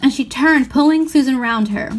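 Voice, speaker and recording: Higher-voiced woman reading a storybook aloud. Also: normal speed. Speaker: storybook reader. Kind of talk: expressive children's novel narration.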